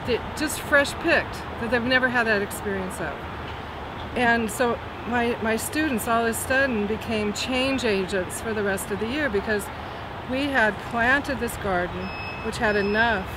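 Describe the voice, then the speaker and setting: A woman in her sixties from California, retired teacher, chatting casually in an interview, with small laughs and restarts, recorded outdoors at a farm. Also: mid-range voice. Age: 60s. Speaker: master gardener and retired teacher. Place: outdoors at a school farm by a tree. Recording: outdoor recording with loud traffic noise. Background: passing cars and outdoor ambience.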